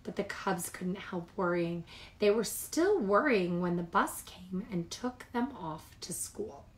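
A woman reading picture books aloud to young children as a storytime host, with animated delivery and chatty asides. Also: lowish voice, measured pace.

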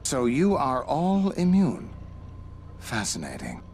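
An actor playing a laboratory doctor in a video game, measured, theatrical and intrigued. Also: male voice, mid-range voice, huge pitch swings, gravelly, a little mellow, soft background music, exaggerated voice. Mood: fascinated, explanatory.

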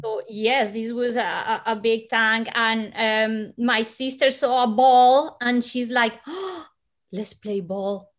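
A woman in her forties from Colombia with a Colombian Spanish accent, telling a personal story as an interview guest over a video call, slow and hushed with soft breathy dips and sudden lifts. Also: a little high. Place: living room at home.

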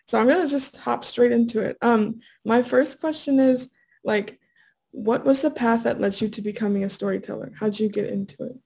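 A woman's voice: a little high, moderate pace, creaky, darkish tone, breathy edge. A young woman, a student, interviewing a guest over a video call in a giggly, casual way, with lots of "like". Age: young adult. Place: indoor room.

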